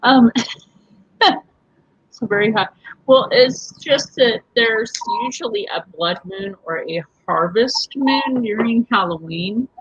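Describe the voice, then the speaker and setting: A woman's voice: fairly high pitch, lively intonation, takes it slowly, breathy edge, dull audio. A woman hosting a live online chat show, talking casually and laughing often.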